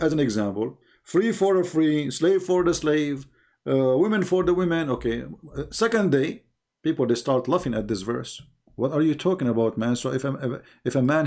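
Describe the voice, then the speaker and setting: A man's voice: a little high, a little mellow. A man speaks English as an in a live debate. He lectures insistently, like a teacher pressing a point, and keeps putting rhetorical questions to his listener. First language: non-English. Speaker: religious debater on a live call. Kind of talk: polemical live lecture to a caller.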